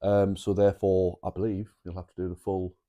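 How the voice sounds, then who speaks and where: A man co-hosting a podcast, talking in a relaxed, casual way with many repeated words. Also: low voice, a little fast. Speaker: podcast co-host. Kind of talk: casual chat.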